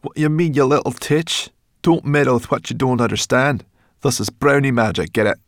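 A man voicing a grumpy, sharp-tongued female brownie in an audiobook, with a growling, scornful and cheeky manner. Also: mid-range voice, normal speed, no echo, studio quality, voice pushed up, natural voice. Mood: irritated. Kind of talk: audiobook character voice.

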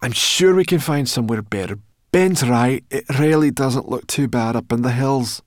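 A man's voice: mid-range voice, normal speed, dry recording, studio quality, voice pushed up, close to an everyday voice. A man voicing a feisty, bossy young female brownie creature in a storybook, brash and impatient. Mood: urgent, bossy.

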